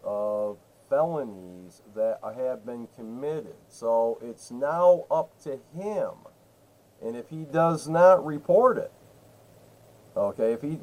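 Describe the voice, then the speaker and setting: A man speaks as a caller pressing a complaint with an official's office. His voice is mid-pitched and mellow, with a soft, dark tone. He is emphatic and insistent, repeating himself as he lays out his accusations. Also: rough recording. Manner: emphatic, repetitive, interrupting. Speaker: caller to a state senator's office.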